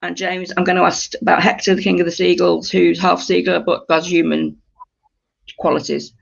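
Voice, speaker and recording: A woman's voice: fairly low pitch, flat delivery, moderate pace, vocal fry. A woman leading a creative writing workshop, giving polite, slightly hesitant instructions to her group in a conversational way. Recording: dull lo-fi audio.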